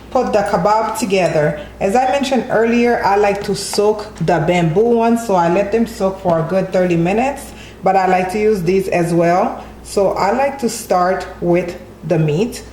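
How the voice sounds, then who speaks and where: A woman's voice: mid-range voice, darkish tone, roomy sound, slight background noise, rough recording. A woman hosting a home cooking tutorial, walking through each step in a friendly way and often adding "okay?" as she goes. She is from Haiti. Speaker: cooking channel host. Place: home kitchen.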